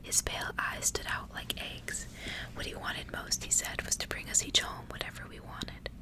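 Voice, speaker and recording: Female ASMR reader needed, whispering throughout, soft and measured. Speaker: ASMR host reading a storybook aloud.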